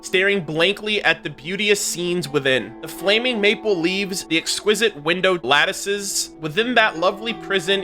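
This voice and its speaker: High, bright-voiced man streaming over music. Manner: informal, expressive, profane. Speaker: streamer and video host. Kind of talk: live reaction commentary mixed with reading game lore aloud. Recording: music behind the voice.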